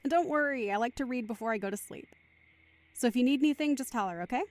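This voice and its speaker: A woman's voice: a little high, fast talker, darkish tone, natural voice. A woman playing a tired, caring mother in an audio drama. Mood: gentle, reassuring. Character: caring, tired mother hosting a sick young guest.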